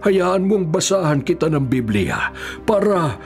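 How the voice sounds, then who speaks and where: A man's voice: on the high side, very animated, unhurried, soft background music. An actor playing an elderly, forgiving male prisoner who preaches earnestly and patiently in a radio drama. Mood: gentle, earnest.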